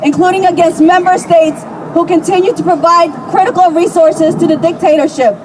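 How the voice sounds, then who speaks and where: A woman's voice: extremely high pitch, steady tone, a little fast. A young woman reading a prepared letter aloud as a public speech at a demonstration, declamatory and earnest, stumbling over some words. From Eritrean family background. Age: young adult. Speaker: speaker at a demonstration. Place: outdoors at a street demonstration. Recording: outdoor rally, crowd and traffic noise.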